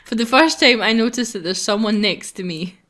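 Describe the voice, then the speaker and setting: A woman's voice: fairly high pitch, a little fast, bright, forceful tone. A woman hosting a visual novel playthrough, mixing casual narration with chat. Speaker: host reading a visual novel.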